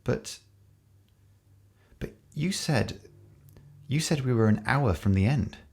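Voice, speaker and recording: An actor playing a regretful, self-doubting boyfriend in an intimate audio drama, speaking haltingly and apologetically. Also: male voice, animated, a little mellow, exaggerated voice. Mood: subdued, regretful. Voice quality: low gravelly voice. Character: Simon, regretful, self-doubting boyfriend near the world's end.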